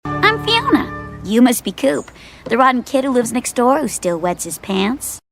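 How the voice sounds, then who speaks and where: A woman voicing a young, chirpy, teasing girl from next door in an animated series. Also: fairly high pitch, unhurried, gravelly, over music, heavily acted.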